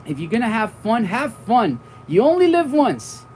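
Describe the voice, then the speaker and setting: A man's voice: very high voice, wildly swooping pitch, moderate pace, slight background noise. Young-sounding man chatting to viewers. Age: 40s. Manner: rambling, goes off on tangents; warm and friendly toward viewers. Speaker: board game streamer. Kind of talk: casual live-stream chat.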